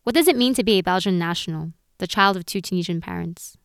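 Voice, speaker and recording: A woman podcast host reading scripted narration clearly and evenly. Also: lowish voice, quick pace.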